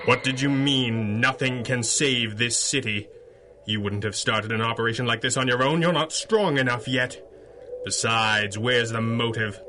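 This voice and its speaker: An actor playing a stern, analytical masked superhero, speaking gravely in the style of a 1930s radio serial. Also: male voice, mid-range voice, normal speed, background noise, lightly acted. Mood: commanding. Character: stern, commanding masked superhero crime-fighter, calmly analytical.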